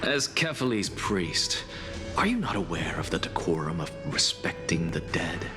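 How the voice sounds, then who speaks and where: A man's voice: deep voice, very animated, a little slow, long reverb tail, over music. A man playing an arrogant, coolly defiant young scholar in a video game, with dry, cutting, theatrical delivery. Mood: mocking. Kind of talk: dramatic video game voice acting.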